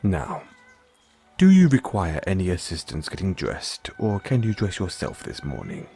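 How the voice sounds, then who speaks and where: Low-voiced, formal butler, played by a man. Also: huge pitch swings, mellow, slightly rough, soft background music, heavily acted. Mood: teasing, deferential. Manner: formal, deferential, measured. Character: polite, doting, gently teasing male butler. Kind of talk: scripted audio roleplay.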